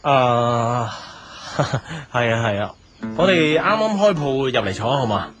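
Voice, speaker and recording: A man's voice: mid-range voice, lively intonation, takes it slowly. Guy acting as young hong kong cafe boss, cantonese, easygoing n polite, music playing behind. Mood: patient, explaining. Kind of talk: Cantonese audio drama acting.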